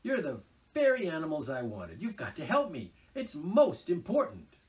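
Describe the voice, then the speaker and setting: A man voicing a boastful, excitable male toad who talks loudly and gushes. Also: fairly high pitch, normal speed, distant mic, voice pushed up. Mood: boisterous. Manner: loud, gushing, exclamatory.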